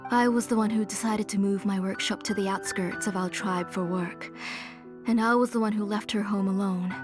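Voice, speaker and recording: A woman's voice: mid-range voice, steady tone, normal speed, over music, lightly acted. A woman voicing an older, doting mother in a video game, with a fussing, motherly manner. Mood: grief-stricken. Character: grieving, doting older mother, retired forger.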